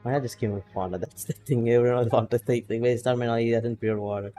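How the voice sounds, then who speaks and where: A man's voice: medium pitch, steady tone, fast talker, dark tone. A young man casually commenting while playing a game and reading its text aloud, rambling and playful. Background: game music.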